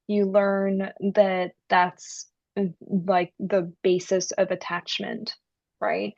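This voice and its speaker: Gravelly-voiced woman explaining with check-ins. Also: mid-range voice, darkish tone, no echo, dull audio. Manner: earnest, explains with frequent "right?" check-ins. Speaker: author and guest. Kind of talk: explanatory interview talk.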